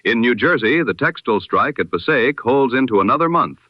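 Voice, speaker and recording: A man reading a scripted news bulletin as a 1920s radio announcer, in a solemn, formal, measured oratorical manner. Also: medium pitch, lively intonation, no room sound, dull audio.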